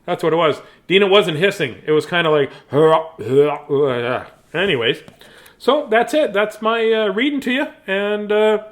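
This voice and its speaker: A man with a high-pitched voice hosts a casual live story session. He talks in a chatty, rambling way and pokes fun at himself. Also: moderate pace, a bit bright. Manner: rambling, chatty, self-deprecating. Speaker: bedtime story reader and host. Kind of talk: casual live chat to viewers.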